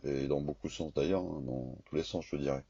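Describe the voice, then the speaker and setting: A man's voice: very deep voice, brisk, vocal fry, warm tone, breathy edge, no echo. A man chatting casually over two-way radio, rambling and hesitant in a colloquial way. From France. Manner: rambling, hesitant, colloquial, often ending on "quoi" and "hein". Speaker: radio amateur operator. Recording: slightly dull, lo-fi radio-chat recording.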